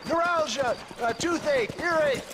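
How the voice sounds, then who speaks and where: A man's voice: very high voice, unhurried, background noise. A man playing a pompous older snake-oil salesman in a video game, florid and wheedling, with stammered hesitations. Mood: grand sales pitch. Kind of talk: video game cutscene acting.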